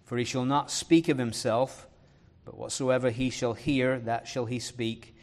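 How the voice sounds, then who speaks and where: Man, preacher, Leeds accent with Irish and American traces, mid-pitched voice, earnest, slow emphatic scripture reading. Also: steady tone. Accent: Leeds English, touched by Irish and American. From northern England. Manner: earnest, fills pauses with "uh" and "um"; reads scripture slowly with emphasis.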